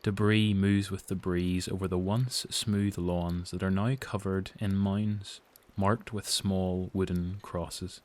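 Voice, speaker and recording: A man with a Northern Irish accent giving scripted, dramatic narration for an audio drama. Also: lowish voice. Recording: noticeable background noise.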